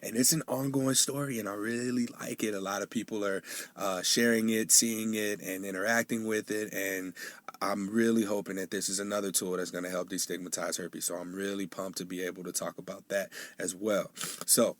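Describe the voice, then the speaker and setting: A man's voice: lowish voice, fairly even intonation, fairly quick. A man hosting a solo podcast, talking casually with lots of fillers, sounding nasal and sniffly from a coming cold. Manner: casual and chatty, with frequent fillers. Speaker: podcast host.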